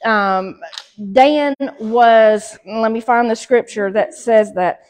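A middle-aged woman with a Southern US accent teaching a church Bible study, reading scripture aloud and then explaining it with pauses and fillers, recorded by a camera at a distance in a small fellowship hall. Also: fairly high pitch, darkish tone. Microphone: far camera.

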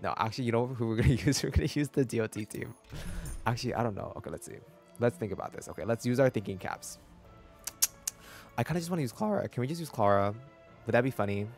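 A man's voice: mid-range voice, animated, fast, vocal fry, darkish tone. A young man chatting casually on a gameplay livestream in a slangy, rambling, playful way. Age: young adult. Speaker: video game streamer. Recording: faint game music behind.

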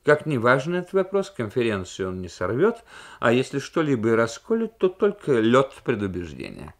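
A man's voice: medium pitch, huge pitch swings, normal speed. Man reading as a combative, emphatic statesman. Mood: playful, emphatic. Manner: emphatic, militant, cutting. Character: combative British prime minister, a middle-aged statesman, brisk and stubborn. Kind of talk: dramatic literary reading on Soviet radio.

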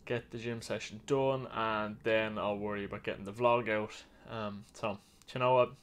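An Irish man in his thirties giving a rambling, relaxed vlog update from a small home office at his desk camera. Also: lowish voice, moderate pace, slight background noise. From Ireland. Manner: relaxed, rambling, self-correcting. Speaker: vlog co-host. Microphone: webcam at desk.

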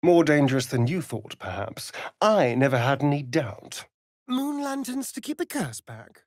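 An actor with an English accent playing a sly, vain, mocking vampire elf rogue in a fantasy game, arch and teasing in manner. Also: male voice, on the high side, big pitch swings, measured pace, a little mellow, no echo, clean studio sound. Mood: dry, wry. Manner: arch, languid, teasing, theatrical. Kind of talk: theatrical fantasy game voice acting.

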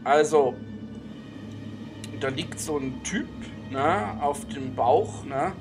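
Higher-voiced male game master over music. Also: slow pace. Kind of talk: improvised tabletop narration, casual. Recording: music under the voice.

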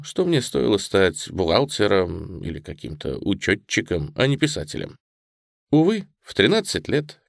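Deep-voiced man narrating an audiobook. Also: no room sound, clean studio sound. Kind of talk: scripted first-person narration.

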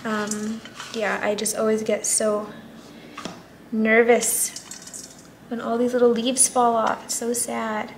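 A woman's voice: on the high side, monotone, takes it slowly, pauses often, slight background noise, low-quality recording. A young woman with a stuffy, nasal, partly lost voice chatting casually while repotting plants, trailing into murmured asides. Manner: chatty, self-doubting, many fillers and murmured asides. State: congested, nasal, voice partly gone. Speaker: plant vlogger. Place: indoors at a table.

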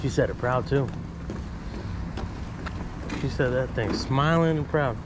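A man's voice: mid-range voice, a little slow. A man vlogging as he shops, chatting casually and politely with vendors and often repeating prices back. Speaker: vlogger shopping at a flea market. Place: outdoor flea market. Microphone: action camera worn by the speaker. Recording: noisy outdoor street market. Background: loud market and street noise.

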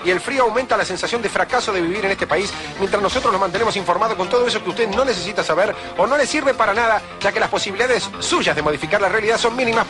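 Frantic high-pitched Argentine radio newsman played by an actor. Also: natural voice. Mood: agitated. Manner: hurried, emphatic, melodramatic. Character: alarmist, gloomy morning radio news anchor, older man. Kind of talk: parody radio news presenting.

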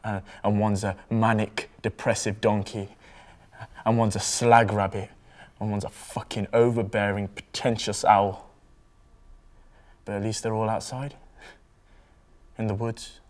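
A man's voice: lowish voice, flat delivery, a little slow, pauses often, a little mellow, lightly acted. A man acting a disillusioned, foul-mouthed lad in a rambling, matter-of-fact dramatic monologue. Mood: scornful, rambling. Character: sweary, disillusioned young lad brooding on toys.

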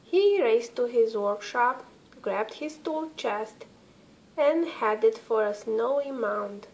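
A woman reads a children's storybook aloud at a slow pace. Her voice sits rather high and she tells the tale expressively, pausing for drama. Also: expressive, creaky, low-quality recording. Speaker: storybook reader.

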